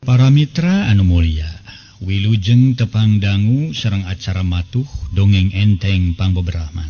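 A man's voice: sits low, mellow. An elderly man, telling a serial tale in a conversational way. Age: 70s. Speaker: storyteller and show host. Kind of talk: narrated folk serial storytelling. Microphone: close desk microphone. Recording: slightly dull sound with faint noise.

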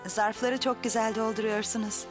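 A woman's voice: fairly high pitch, steady tone, normal speed, music in the background, lightly acted. A woman playing a kindly, encouraging mother of young twins in a dubbed children's TV drama. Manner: encouraging, polite, gentle phrasing.

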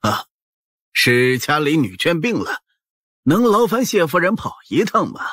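男演员演的古代胖知府，语调起伏大，嗓音沙哑. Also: male voice, fairly high pitch, big pitch swings, measured pace, a bit bright, slightly rough, dry recording, studio quality, exaggerated voice. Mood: awkward. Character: plump, sheepish middle-aged male prefect of ancient China. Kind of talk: audiobook drama acting.

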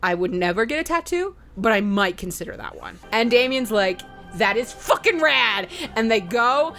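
A woman with a bright, fairly high voice recaps a video game as a let's play host. She tells it casually and theatrically, slipping into character lines and salty slang. Music plays underneath her voice. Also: expressive, moderate pace. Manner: giggly, theatrical, mimicking characters in quoted dialogue; profane, slangy.

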